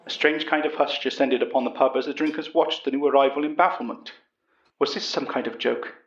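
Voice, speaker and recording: A man giving scripted dramatic story narration in a deliberate, literary storytelling manner. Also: mid-range voice. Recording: muffled, echoey room sound.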